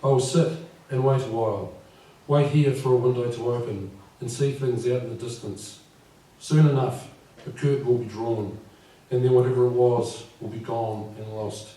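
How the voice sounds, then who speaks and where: A man in his fifties with a croaky voice, reading his poems at a live event and speaking gently and unhurriedly. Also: medium pitch, fairly even intonation, normal speed. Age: 50s. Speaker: poet. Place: live venue with audience. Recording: echoey room from far off.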